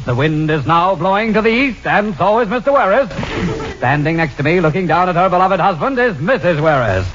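An actor playing an earnest, melodramatic news reporter in a 1940s radio comedy on a muffled old recording. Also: male voice, high-pitched, sing-song, brisk, fairly bright tone. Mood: dramatic, earnest.

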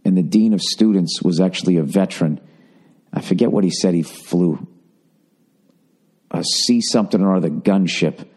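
A middle-aged man riffs through a loose podcast monologue, swearing freely and sounding sardonic. His voice is dark and mellow and sits fairly low. He rambles with plenty of ums and restarts. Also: moderate pace, pauses often, breathy edge. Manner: profane, sardonic storytelling with frequent fillers. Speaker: podcast host. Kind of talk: casual solo podcast monologue.

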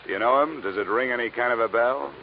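An actor playing a tough, skeptical insurance investigator in an old radio detective drama, with dry, clipped, world-weary delivery. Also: male voice, medium pitch, fast, close to an everyday voice. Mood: skeptical, probing. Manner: hard-boiled, dry, clipped. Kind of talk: 1940s-50s radio detective drama acting. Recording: dull, noisy old radio recording.

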